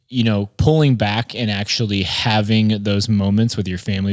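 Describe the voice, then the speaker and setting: A man's voice: fairly low pitch, darkish tone, dry recording, clean studio sound. A man hosting a podcast, giving an informal, conversational motivational talk on business and addressing listeners directly. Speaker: podcast host and marketer.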